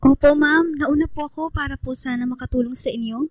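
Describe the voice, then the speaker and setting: Shy, grateful teen schoolgirl played by a woman, high-pitched, hesitant and respectful, close dry radio drama sound. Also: quick pace, no echo, lightly acted. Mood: shy, helpful. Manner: hesitant, polite, softly apologetic.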